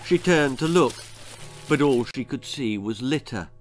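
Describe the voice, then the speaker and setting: A man's voice: medium pitch, lively intonation, unhurried. A British man in his sixties reading a children's story aloud in a dramatic, theatrical manner. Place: small book-lined room indoors.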